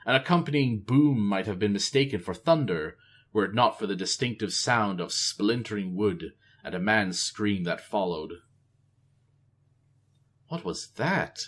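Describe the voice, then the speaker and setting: A man reading a novel aloud in a casual, expressive way. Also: lowish voice, normal speed. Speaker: storyteller.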